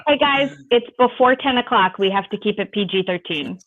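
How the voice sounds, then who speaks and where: A woman's voice: medium pitch, fairly quick, a bit bright. A woman chatting casually as a panelist, over a dull lo-fi microphone. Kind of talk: casual chat.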